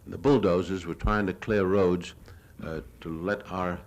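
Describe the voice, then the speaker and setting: Older male, American, lowish voice. Reporter's measured, plain-spoken lecture narration of war film, archival 1970s recording. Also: fairly even intonation, darkish tone. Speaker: newsreel reporter giving a lecture.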